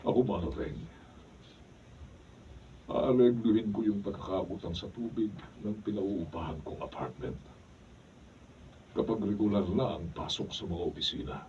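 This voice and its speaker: A man's voice: fairly low pitch, a little slow, long pauses, a little mellow, recorded from a distance, close to an everyday voice. A man with a Filipino accent playing a domineering, blustering older brother-in-law in a radio drama. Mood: remorseful. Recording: muffled, noisy studio, off-mic.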